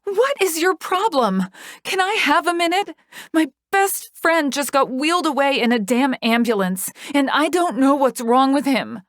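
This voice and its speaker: A woman's voice: high-pitched, expressive, unhurried, no echo, studio quality, voice pushed up. A woman voicing a desperate, angry young woman patient who screams and pleads. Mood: frantic.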